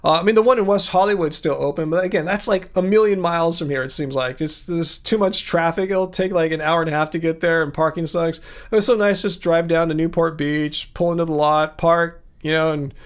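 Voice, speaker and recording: A man with a high voice, hosting a casual livestream. He rambles along loosely, trailing off and filling gaps as he talks. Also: steady tone, brisk, hardly any pauses. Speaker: livestream host.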